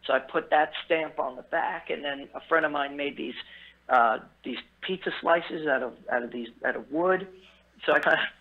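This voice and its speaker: A man's voice: fairly high pitch, normal speed. Man rambling cheerfully over a tinny phone line. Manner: rambling, upbeat, many fillers and restarts. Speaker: guest musician. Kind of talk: casual interview chat. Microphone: phone call.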